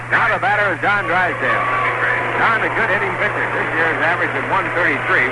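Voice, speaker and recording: A man doing live play-by-play for a baseball game on the radio, working statistics in between the pitches. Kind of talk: live radio sports commentary. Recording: hissy 1960s AM radio broadcast recording.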